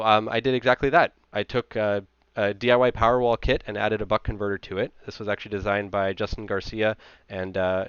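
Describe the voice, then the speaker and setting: Low, smooth American man presenting. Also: a bit bright, dry recording, lo-fi sound. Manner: explanatory, conversational. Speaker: presenter, amateur radio hobbyist. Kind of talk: informal technical slide presentation.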